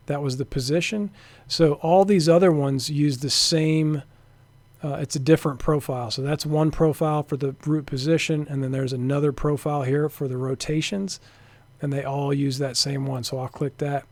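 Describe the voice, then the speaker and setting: Guy doing a casual screen share walkthrough, slightly high voice, dry close sound, kinda hesitant and mumbles off. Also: darkish tone, no room sound. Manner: thinking aloud, hesitant, trailing off to a mutter. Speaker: software developer demonstrating a networking tool. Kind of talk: informal screen-share demo narration.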